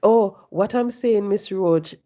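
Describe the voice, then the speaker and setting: A woman, hoarse and congested from the flu, talking on a casual live stream, preaching and repeating her points to viewers from home. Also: medium pitch, slow pace, mellow. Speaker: livestream host. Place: home, sitting.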